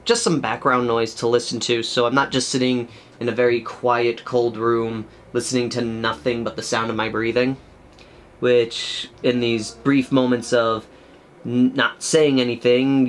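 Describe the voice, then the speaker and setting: Chatty young man painting miniatures. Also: mid-range voice. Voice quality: loud, projected voice. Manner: rambling, chatty, many fillers. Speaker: miniature painting channel host. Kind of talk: casual tutorial commentary while painting. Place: small quiet room at home.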